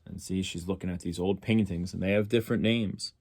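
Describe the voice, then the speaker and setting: A man's voice: low voice, steady tone, a little fast, darkish tone, slightly rough, no echo, clean studio sound. A man reading a picture book aloud expressively, as a storyteller for schoolchildren.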